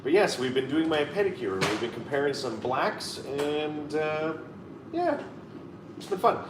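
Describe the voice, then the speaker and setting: A man's voice: a little high, very animated, unhurried, reverberant, distant mic, background noise. A man in his thirties chatting casually and playfully on a live stream, reading viewer comments aloud. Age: 30s. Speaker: co-host reading live chat.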